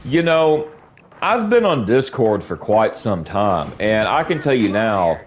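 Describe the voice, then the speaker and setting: A man's voice: fairly low pitch, big pitch swings, measured pace, a little hiss or hum. A young man streaming while gaming, ranting casually to his chat. He rambles, repeats himself, says bro a lot, and sounds sniffly from allergies.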